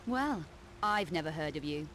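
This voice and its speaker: A woman's voice: sits low, animated, moderate pace, fairly bright tone, a little hiss or hum. An actress playing a cool, defiant female adventurer in a video game, speaking dry and curt.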